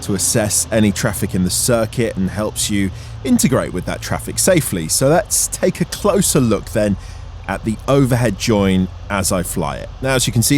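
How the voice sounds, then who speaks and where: A man's voice: on the low side, a little mellow, slight background noise. A man narrating an explanatory vlog as its host, in a voice-over style. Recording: music under the voice. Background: background music.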